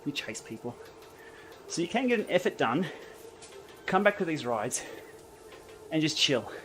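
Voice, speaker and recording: A man chats loosely while riding an indoor trainer, his voice sitting a little high for a man. He reads out viewer comments and answers them in an easygoing way, with faint music running underneath. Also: very animated, unhurried, a bit bright. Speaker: livestream host. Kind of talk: casual live chat while riding.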